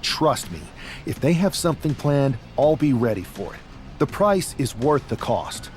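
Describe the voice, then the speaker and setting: An actor playing a cocky, stubborn young pro wrestler, confident and wry, in a video game cutscene. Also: male voice, mid-range voice, big pitch swings, unhurried, faint music behind. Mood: cocky, determined.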